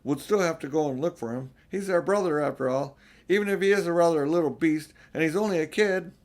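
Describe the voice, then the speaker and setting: Man as storybook boy, eldest brother, higher pitch, earnest, anxious, determined, insistent. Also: brisk, close to an everyday voice. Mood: pleading, determined. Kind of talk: storybook character voice in an audiobook.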